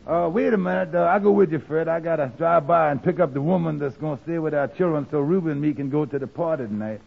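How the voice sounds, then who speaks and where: An actor playing a mild, apologetic family man with halting, folksy talk in 1940s radio comedy style. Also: fairly high pitch, gravelly, breathy edge, exaggerated voice. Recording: dull old radio broadcast.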